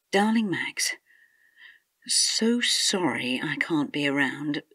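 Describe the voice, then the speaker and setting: An actress playing a distressed, suspicious wife in a radio drama, agitated and accusing, often dropping to a hushed mutter. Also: female voice, sits low, slightly rough, no echo, studio quality, full character voice. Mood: hushed, anxious. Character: distressed, suspicious wife convinced her husband is an impostor.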